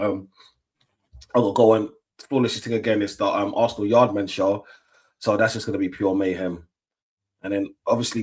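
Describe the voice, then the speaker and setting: A middle-aged man talks in a fairly low voice. His chat is loose and slangy, full of fillers and restarts, as he hosts a relaxed football watch-along stream. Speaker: stream host.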